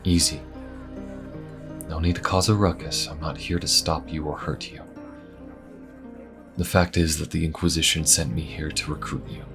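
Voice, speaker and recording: Calm, shrewd undercover inquisition adventurer, male actor, extremely low register, measured and conspiratorial, fantasy audio drama. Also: music in the background. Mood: earnest, conspiratorial. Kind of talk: fantasy audio roleplay, intimate conversational acting.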